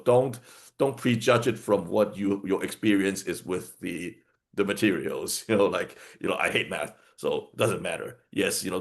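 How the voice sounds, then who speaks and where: A man who publishes books, talking in a rambling way on a panel video call, full of restarts and fillers. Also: sits low. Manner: rambling, many restarts and fillers, frequent laughter.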